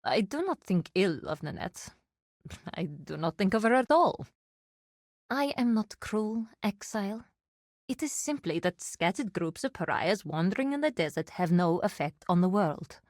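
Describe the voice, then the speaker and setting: An actress voicing a proud, dutiful desert warrior woman in a video game, speaking in a formal, measured, solemn way. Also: medium pitch, sing-song, no room sound, studio quality. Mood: reserved. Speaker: game character.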